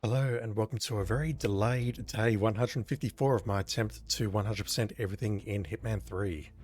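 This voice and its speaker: Croaky, low-voiced man streaming a game. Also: brisk, a little mellow. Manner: rambling, chatty, apologetic. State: croaky from illness. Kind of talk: casual gameplay commentary.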